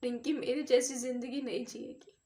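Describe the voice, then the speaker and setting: A woman acting a weary, wronged woman in a dramatic monologue, halting and repeating words for emphasis. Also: fairly high pitch, fairly even intonation, mellow, close to an everyday voice. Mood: weary resolve.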